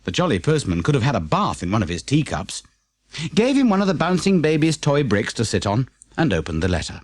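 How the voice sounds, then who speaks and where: A man with a British accent reading a children's audiobook as an expressive, scripted storyteller. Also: mid-range voice, fairly quick, no echo, clean studio sound. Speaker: audiobook narrator.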